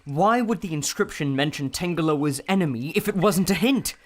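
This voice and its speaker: A man's voice: fairly high pitch, lively intonation, moderate pace. An actor playing a nervous, kidnapped male scientist who stammers anxiously.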